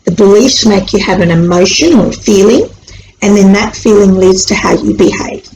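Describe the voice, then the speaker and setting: A woman with an Australian accent, born in Sri Lanka, explaining anxiety and the brain in a chatty, animated way, acting out worried inner voices. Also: medium pitch, moderate pace. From born in Sri Lanka, raised in Australia. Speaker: anxiety educator on video. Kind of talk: casual explanatory talk to camera. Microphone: camera mic. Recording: echoey room with faint hiss.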